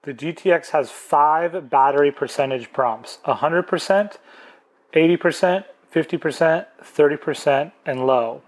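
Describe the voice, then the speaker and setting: Male product presenter, voice a touch high, plain step-by-step tutorial delivery. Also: measured pace.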